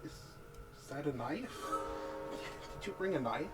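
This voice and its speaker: Nervous, high-voiced young man, very animated. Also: unhurried, over loud music. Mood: confused, defensive. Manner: hesitant, stammering, pleading. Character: nervous, placating young male podcaster, the threatened roommate. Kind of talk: horror audio drama acting. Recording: clearly noisy track with ambience.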